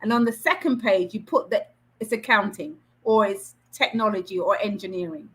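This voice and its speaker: A woman's voice: medium pitch, normal speed, a bit bright. A middle-aged woman from Trinidad with a Trinidadian accent, answering questions as a career coach in an online session in an earnest, encouraging way. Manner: encouraging, earnest advice-giving.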